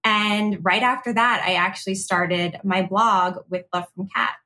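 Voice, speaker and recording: A woman's voice: medium pitch, fairly even intonation, measured pace, sharp tone. An American woman from Arizona talking casually as a podcast guest, in a chatty, upbeat storytelling way. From Arizona, United States. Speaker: podcast guest, lifestyle blogger. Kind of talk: casual conversational interview.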